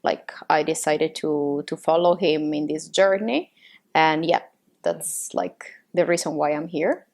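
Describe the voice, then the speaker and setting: A woman's voice: low voice, expressive, a little slow, a little mellow. A woman from Italy with an Italian accent, chatting casually as a podcast co-host, often searching for words with "like" and checking with "right?". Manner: hesitant phrasing with frequent "like" and tag questions. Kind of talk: casual chat.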